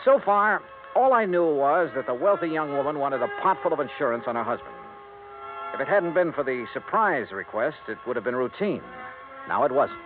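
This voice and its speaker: An actor playing a blunt, hardboiled insurance investigator in a 1950s radio drama, asking brisk, dry questions. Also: male voice, fairly high pitch, lively intonation, over music, lightly acted. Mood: narrating. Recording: tinny lo-fi 1950s radio broadcast with faint hiss.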